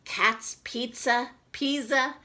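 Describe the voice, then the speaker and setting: A woman reading a picture book aloud to children, expressive, with casual chatty asides to explain it. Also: fairly high pitch, drawn-out words. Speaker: children's read-aloud presenter.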